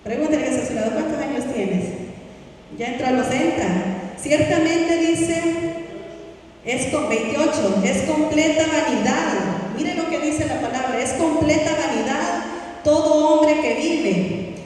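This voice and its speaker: Woman pastor giving a sermon, high voice, far from the mic, lots of echo. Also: a little mellow, slight background noise. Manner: preaching with slow drawn-out Bible readings. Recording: echoey hall, voice from far off.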